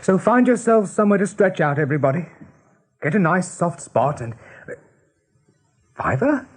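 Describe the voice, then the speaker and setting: A man playing a kindly, decisive young male rabbit leader in a radio play, with a husky voice. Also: sits high, very animated, normal speed, full character voice. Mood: brisk, concerned. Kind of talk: 1980s radio play acting.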